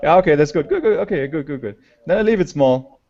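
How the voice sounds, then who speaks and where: A man with a German accent giving a remote conference talk, halting with frequent fillers and restarts. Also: on the high side, animated. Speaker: conference speaker. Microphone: video call. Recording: dull lo-fi call audio.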